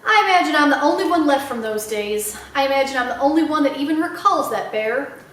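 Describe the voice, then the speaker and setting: A woman's voice: high voice, animated, fast, a bit bright. A woman voicing a proud old small-town Southern lady librarian who tells tales with dramatic, repeated emphasis for a children's storybook. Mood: wistful. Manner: emphatic, repeats phrases for effect. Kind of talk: children's audiobook character voice. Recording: very echoey room, slightly distant mic.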